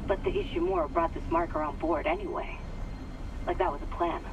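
An actress playing a sharp, suspicious computer specialist in a space crew, talking over comms in a naturalistic game performance. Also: female voice, lowish voice, steady tone, brisk, highs cut off, lightly acted. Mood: probing, skeptical. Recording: radio call under loud ship noise.

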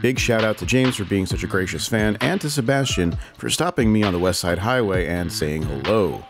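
A man's voice: fairly low pitch, lively intonation, a little fast. A man narrating a channel video in a dry, self-deprecating scripted voice-over. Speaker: channel host. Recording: music bed behind voice.

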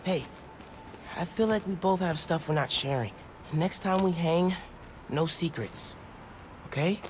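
A man's voice: a little high, unhurried, a little hiss or hum, close to an everyday voice. An American man playing an earnest, nerdy teenage boy superhero in a video game cutscene. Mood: friendly, awkward. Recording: music behind the voice.

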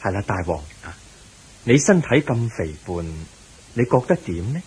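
A man with a Hong Kong Cantonese accent playing a kindly, wise Buddha in a radio drama, gentle, measured and instructive. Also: medium pitch, very animated, slightly rough, full character voice, poor audio. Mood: gently advising.

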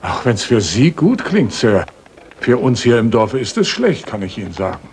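An actor playing a deferential, gossipy but honest village innkeeper in a radio play, talking politely and a little hesitantly. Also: male voice, mid-range voice, big pitch swings, moderate pace, darkish tone. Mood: confiding, wary. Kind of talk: German radio play acting, 1980s. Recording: 1980s radio play with audible noise.

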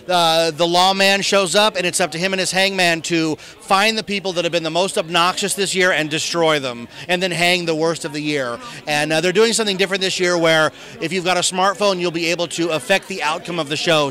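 A man's voice: fairly high pitch, bright, forceful tone. A middle-aged American man from Southern California speaking like an enthusiastic master of ceremonies selling his event in an interview on a handheld mic. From Southern California, United States. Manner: enthusiastic, salesman-like. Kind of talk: promotional red-carpet interview. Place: outdoor press backdrop at a theme park at night. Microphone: handheld reporter microphone.

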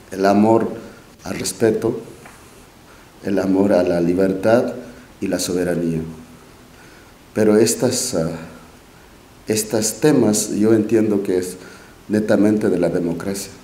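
Low, slow Andean man at a podium. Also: mellow. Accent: Quechua-speaking Andean Spanish. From Peru, Q'ero nation. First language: Quechua. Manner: halting and modest, with many restarts and fillers. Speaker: forum speaker. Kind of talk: speech at a podium. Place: large auditorium stage. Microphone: podium mic. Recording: echoey auditorium, faint room noise.

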